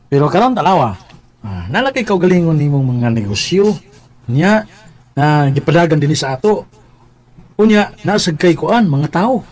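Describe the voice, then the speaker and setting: An actor playing a chummy, excitable best friend with a familiar, prodding manner, in a radio drama. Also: male voice, fairly high pitch, normal speed. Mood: jovial, teasing.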